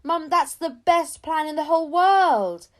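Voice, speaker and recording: A woman voicing an excitable, curious primary-school child in a storybook reading. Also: high-pitched, measured pace, pitched above the performer's own voice. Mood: thrilled.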